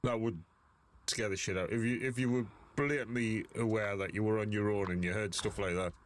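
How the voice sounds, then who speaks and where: An older man with a hoarse voice from a cold, chatting casually as he reacts to ghost videos. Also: fairly low pitch, steady tone, brisk. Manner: chatty, easygoing.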